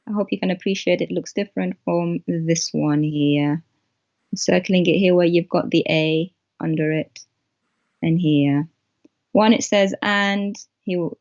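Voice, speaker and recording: A woman whose first language is Arabic, a guest explaining text on screen in a halting way with many pauses. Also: fairly low pitch, a little mellow, muffled recording. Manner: halting, pointing things out.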